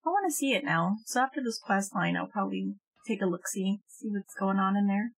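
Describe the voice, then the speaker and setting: Medium-pitched woman chatting over a game. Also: a little fast, a crowd in the background, poor audio. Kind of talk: casual chat while gaming.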